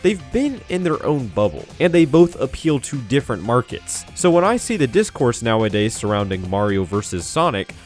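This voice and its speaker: Male video essayist, lively varied intonation, mid-range pitch, conversational, opinionated, emphatic, music underneath. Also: normal speed, hardly any pauses.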